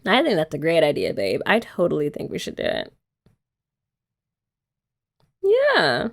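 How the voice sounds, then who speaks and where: A woman's voice: low voice, huge pitch swings, a little fast, creaky. A young woman doing girlfriend roleplay ASMR, chatty and teasing with rambling asides. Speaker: ASMR creator.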